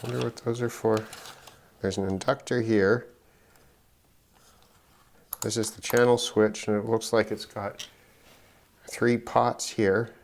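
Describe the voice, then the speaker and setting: A middle-aged man with a lowish voice talks slowly through a hands-on electronics teardown. He muses and halts as he thinks aloud, with faint noise and clicks behind him. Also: level tone, gravelly. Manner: halting, musing, thinking aloud. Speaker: electronics hobbyist presenter. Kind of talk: unscripted teardown commentary.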